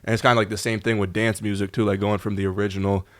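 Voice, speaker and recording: A man co-hosts a podcast in a deep, low voice. He keeps a flat, even tone, and his laid-back musing leans on "like". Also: rapid-fire. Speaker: podcast co-host. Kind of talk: casual podcast interview.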